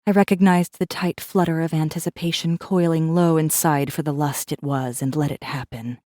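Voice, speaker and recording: A woman's voice: deep voice, fairly even intonation, moderate pace, warm tone, dry recording, clean studio sound, close to an everyday voice. A woman playing a defiant, bitter captive rebel assassin who narrates her own story in an intense, brooding way. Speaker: audiobook narrator. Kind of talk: first-person dramatic narration.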